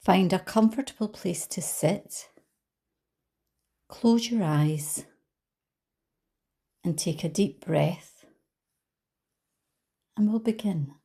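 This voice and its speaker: Woman, low-pitched, unhurried, calm guided meditation narration, gentle soft phrase endings. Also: vocal fry, husky. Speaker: life coach leading a guided visualisation.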